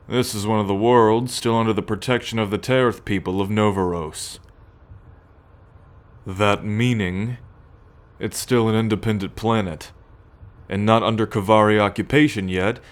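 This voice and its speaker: A low-pitched, haughty alien military captor, acted out by a man for an ASMR roleplay. He talks in measured, imperious phrases, musing and sighing, with a teasing possessiveness and cool menace toward his captive. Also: natural voice. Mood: musing, grumbling. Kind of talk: ASMR roleplay acting, addressed to the listener.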